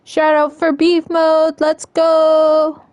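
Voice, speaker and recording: A woman streamer loudly giving chat shout-outs while she plays a game. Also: high voice, monotone, extremely slow, no echo. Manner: loud and hyped.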